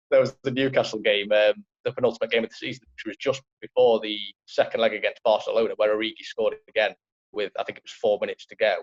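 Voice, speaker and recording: A man's voice: lowish voice, flat delivery, fast, muffled recording. A man with a Liverpool accent, a football writer talking football in a casual podcast chat, rambling with many fillers.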